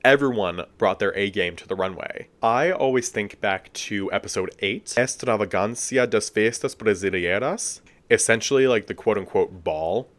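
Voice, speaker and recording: A man talks through a review in a chatty, expressive way. He fills his talk with casual asides and filler words. His voice sits fairly low. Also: moderate pace. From United States. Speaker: video reviewer. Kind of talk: casual commentary.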